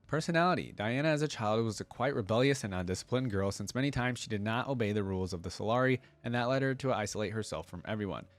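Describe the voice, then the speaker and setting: A man's voice: fairly low pitch, dry recording. A man hosting a reaction video, reading aloud and adding casual commentary of his own.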